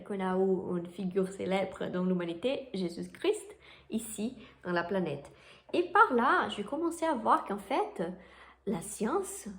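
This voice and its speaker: Enthusiastic Brazilian woman in roomy echo. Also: medium pitch. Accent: Brazilian Portuguese. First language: Portuguese. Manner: enthusiastic, searching for words with many restarts. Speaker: Reiki teacher. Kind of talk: casual book talk to camera. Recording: roomy echoey room.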